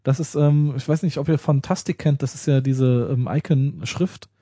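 A man with a mellow, slightly husky voice talks shop with friends on a podcast. His delivery is loose and joking, full of restarts and fillers, and it sounds close and dry. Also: medium pitch, fairly even intonation, moderate pace, dry recording. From Berlin. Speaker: podcast co-host. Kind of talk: casual tech chat.

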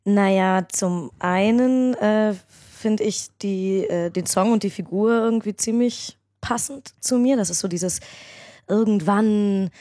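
A woman's voice: mid-range voice, unhurried, dark tone, no room sound, studio quality. A woman guest on a podcast, talking casually and hesitantly with many fillers. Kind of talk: casual interview chat.

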